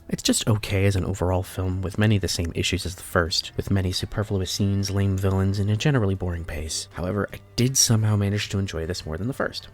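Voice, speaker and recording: A man in his early twenties, nasal and stuffy from a sinus infection, reading blunt, opinionated film reviews in a scripted voice-over. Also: deep voice. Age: early 20s. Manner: blunt, opinionated verdicts with scores.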